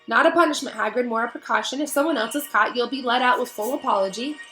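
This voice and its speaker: A woman's voice: on the high side, quick pace, over music, pitched above the performer's own voice, natural voice. Woman doing a flustered, fidgety official. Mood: nervous. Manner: anxious, flustered. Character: nervous fidgeting middle-aged male official. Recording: echoey room.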